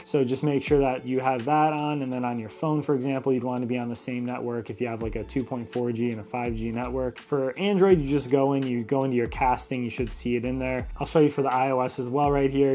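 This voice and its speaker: Mellow-voiced man reviewing gadgets. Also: medium pitch. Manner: informal, explanatory. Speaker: tech product reviewer. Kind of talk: casual product review narration.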